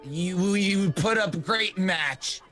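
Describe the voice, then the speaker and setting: Man, tabletop player in character; high, bouncy, nervous rambling, music clearly behind. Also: slow pace, fairly bright tone. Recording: background music clearly heard.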